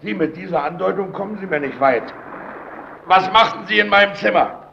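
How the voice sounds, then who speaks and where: An actor playing a domineering, suspicious and irritable lighthouse keeper in an old German radio drama, speaking in a stern, interrogating way. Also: male voice, high-pitched, fairly quick, gravelly. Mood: angry, commanding. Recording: dull, noisy old radio recording.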